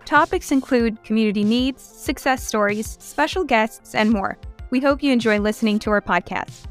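A woman's voice: on the high side, moderate pace, faint music behind. Woman reading a formal podcast intro. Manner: reads a formal guest bio. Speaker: podcast host. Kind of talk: scripted introduction.

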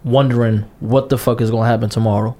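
A man's voice: medium pitch, fairly even intonation, fast, warm tone. Blunt, passionate man in his twenties. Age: 20s. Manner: blunt, repetitive, passionate, curses a lot. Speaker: podcast co-host. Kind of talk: casual podcast chat.

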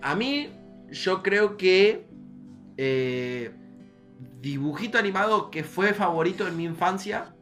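A man's voice: sits high, expressive, slow, a bit bright, soft background music. A young man with an Argentine accent chatting casually as a podcast co-host, slangy and prone to repeating his phrases. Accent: Argentine Spanish. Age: young adult. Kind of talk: casual chat.

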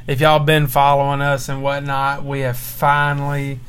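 A man's voice: medium pitch, monotone, moderate pace, fairly bright tone, clean tone. A young man co-hosting a casual chat with his wife, joking, teasing and laughing often, at home at night. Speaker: podcast co-host and church minister. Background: faint baby monitor hiss.

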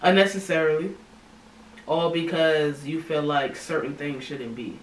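A man's voice: on the high side, fairly even intonation, unhurried, a little hiss or hum. A man co-hosting a casual podcast chat, speaking earnestly and with strong opinions, often repeating his phrases. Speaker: podcast co-host. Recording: roomy sound from a distant mic.

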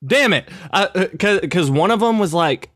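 A young man talks casually on a podcast in a fairly high voice. He hesitates often, stammering and restarting his sentences. The sound is dry and close. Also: expressive, normal speed, a bit bright, no room sound. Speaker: podcast cohost, video creator.

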